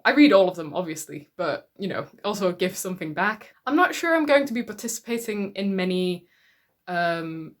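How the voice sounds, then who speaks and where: A woman who is a native Dutch speaker talks casually in English, with a medium-pitched voice. She is a book YouTuber, chatty and reflective as she addresses her viewers. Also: fairly bright tone. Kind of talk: casual talk to camera about reading.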